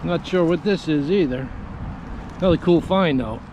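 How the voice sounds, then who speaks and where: A man's voice: a little high, normal speed, lo-fi sound. A man in his forties, chatty and upbeat, narrating his magnet fishing from an old railroad bridge into a wearable camera. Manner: upbeat, chatty, talks to the viewer while working. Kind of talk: casual outdoor vlog narration. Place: outdoors on an old railroad bridge over water. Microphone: wearable action camera. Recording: noisy outdoor action-cam audio.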